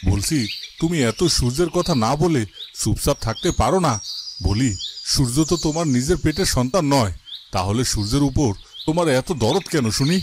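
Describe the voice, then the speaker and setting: A man's voice: mid-range voice, expressive, a little fast, natural voice. A man voicing a stern, irritable father who scolds his wife in a melodramatic cartoon. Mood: irritated scolding. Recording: noisy track with chirping birds.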